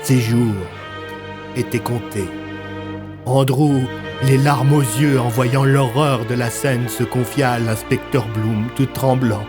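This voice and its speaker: A man's voice: medium pitch, steady tone, slow. Male narrator for serialized fiction: mid-range voice, scripted dramatic storytelling, strong musical underscore. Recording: loud music bed.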